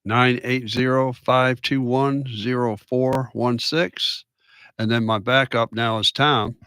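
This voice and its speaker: A man's voice: mid-range voice, steady tone, slow, pushed and bright, clear, resonant tone, no room sound. A man with a mid-range voice, a guest on a radio talk show. He explains things in a plain, matter-of-fact way, recorded dry and close. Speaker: guest business owner. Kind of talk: casual radio interview.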